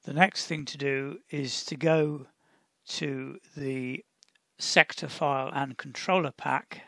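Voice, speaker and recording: A man narrating a step-by-step software tutorial in a deliberate, instructional way, often pausing mid-sentence. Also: mid-range voice, fairly even intonation, creaky, no echo, clean studio sound. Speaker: tutorial presenter.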